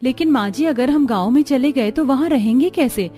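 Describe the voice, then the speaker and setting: A woman's voice: sits high, moderate pace, warm tone, lightly acted. A woman playing a practical young village daughter-in-law in a scripted cartoon story. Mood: reasoning.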